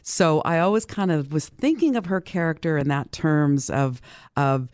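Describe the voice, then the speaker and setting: A woman's voice: low-pitched, expressive, moderate pace, darkish tone, clear, resonant tone, dry recording, clean studio sound. A woman in a casual book discussion, talking as a club participant and acting out reactions as she retells things.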